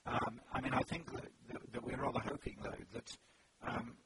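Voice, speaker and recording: A British man answering interview questions as a lawmaker, hesitant, with many restarts and fillers. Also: normal speed. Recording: loud background noise.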